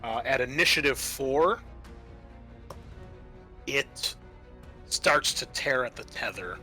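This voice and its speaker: A man with a bright, clear-cut voice runs a tabletop roleplaying game. He describes scenes and explains rulings, pausing as he works them out. Music plays softly behind him. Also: medium pitch, expressive. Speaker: game master.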